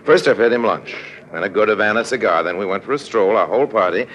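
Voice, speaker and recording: Sly suave con artist, low voice, smug, smooth, casual, 1950s radio acting. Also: male voice, lively intonation, fast talker, fairly bright tone, muffled recording. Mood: smug, confiding. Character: suave, sly male con artist narrating his swindle.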